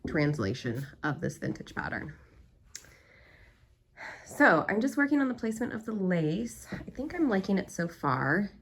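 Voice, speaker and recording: A woman talking casually to her viewers while she sews, calling them "my darlings" and explaining her progress. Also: on the low side. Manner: chatty, addresses viewers as "my darlings". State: croaky from a cold. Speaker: sewing vlogger. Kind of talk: casual sewing vlog talk to viewers.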